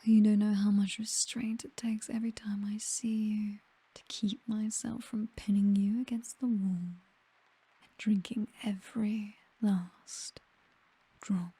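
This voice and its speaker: A woman with a British accent playing a possessive, seductive vampire mistress in a whispered, breathy ASMR roleplay, doting yet condescending and coolly menacing. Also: medium pitch, level tone, a little slow, mellow, no room sound.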